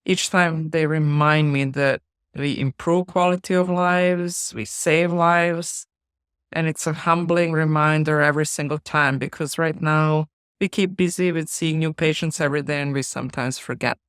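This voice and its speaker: A middle-aged woman from Bosnia, a surgeon giving measured, earnest and humble answers in an interview. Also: sits low, steady tone, clear, resonant tone, no echo, clean studio sound.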